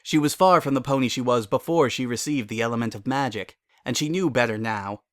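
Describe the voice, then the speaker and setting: A man's voice: mid-range voice, fairly quick, a bit bright, no room sound, clean studio sound. A man reading a scripted story as its narrator.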